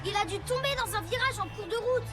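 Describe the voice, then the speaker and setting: A woman voicing an eager, excitable young rescue motorbike in a children's cartoon dub, with an animated, exclamatory delivery. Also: very high-pitched, quick pace, loud music behind the voice. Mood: urgent.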